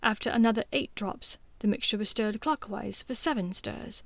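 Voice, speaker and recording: A woman reading scripted fiction as an audiobook narrator, in a measured, expressive storytelling manner. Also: medium pitch, vocal fry, dry recording.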